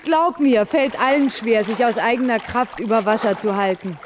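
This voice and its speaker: An actress playing a spirited young student lifeguard in a radio play, with a direct, encouraging manner. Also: female voice, on the high side, moderate pace, loud music behind the voice, lightly acted. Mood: patient, encouraging. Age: young adult. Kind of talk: German radio play acting. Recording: noisy outdoor sound scene with water.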